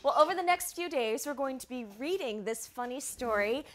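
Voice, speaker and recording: A woman teacher leading a primary class with encouraging, animated questions and read-aloud lines, recorded in a classroom. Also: sits high, moderate pace. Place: classroom with young children.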